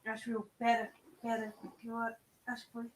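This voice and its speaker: A woman's voice: on the high side, monotone, fast talker. A woman, chatting casually on a livestream as a co-host. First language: Portuguese. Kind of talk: casual chat.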